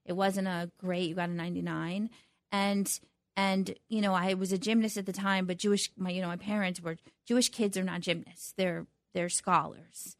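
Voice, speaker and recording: A middle-aged woman speaking as an interview guest, reflective and halting, often restarting her sentences. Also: lowish voice, level tone, no echo. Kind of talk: conversational interview.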